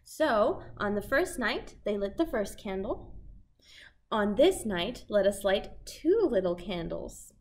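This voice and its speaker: A young woman hosting a children's storytime, reading a picture book aloud in simple, deliberate phrases for toddlers. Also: medium pitch, sing-song. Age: young adult. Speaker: children's library storytime host. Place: small indoor set with fabric backdrop.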